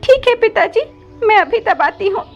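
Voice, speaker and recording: A woman plays a meek little girl bird in a cartoon fable. The voice is very high, pleading and timid, a tearful, obedient stepdaughter. Music plays under slightly dull audio. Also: animated, moderate pace. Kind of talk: cartoon moral story voice acting.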